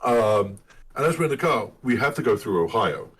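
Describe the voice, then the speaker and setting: A man co-hosting a casual chat about being a dad, telling funny stories in an animated way. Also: lowish voice, moderate pace, slight background noise. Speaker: podcast co-host. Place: lives in Michigan.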